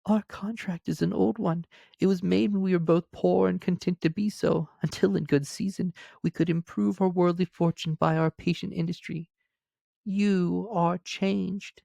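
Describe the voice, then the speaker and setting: A man voicing a gentle, sorrowful young woman in a storybook, speaking softly and earnestly in measured phrases. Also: high-pitched, steady tone, gravelly, no echo, pitched above the performer's own voice, full character voice. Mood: gentle, grieving.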